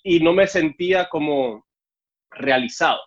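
A man's voice: fairly high pitch, measured pace, a crowd in the background. Cuban-born man with a Mexican accent. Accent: Mexican Spanish. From Cuba. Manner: storytelling, acts out dialogue with raised voice. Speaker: interview guest. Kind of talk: casual interview chat. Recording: dull muffled audio.

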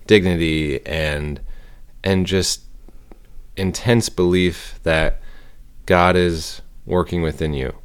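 A man's voice: low voice, fairly even intonation, takes it slowly, long pauses, smooth. A young man co-hosting a podcast, talking in a rambling, casual way with lots of filler words. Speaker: podcast co-host. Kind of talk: casual chat.

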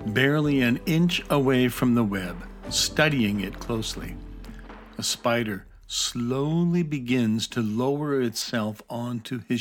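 A man narrating a scripted children's fantasy adventure story in storybook style. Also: medium pitch.

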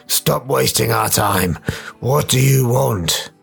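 Man acting a curt, husky, defiant adventurer. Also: mid-range voice, slow pace, fairly bright tone, heavily acted. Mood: impatient. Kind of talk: radio play acting. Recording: music behind the voice.

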